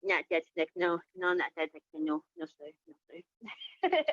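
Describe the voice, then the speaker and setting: A woman's voice: on the low side, sing-song, a little slow, dry recording, dull audio. A woman chatting casually as a podcast host, hesitant and often repeating short phrases. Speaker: host and reader of a storytelling podcast. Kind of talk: casual chat.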